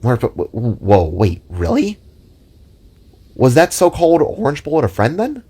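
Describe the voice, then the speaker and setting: A man voicing a small, mischievous, warm-hearted female frog vigilante with an informal, affectionate way of talking. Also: medium pitch, huge pitch swings, measured pace, slightly rough, voice pushed up, exaggerated voice. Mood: taken aback. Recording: music under the voice.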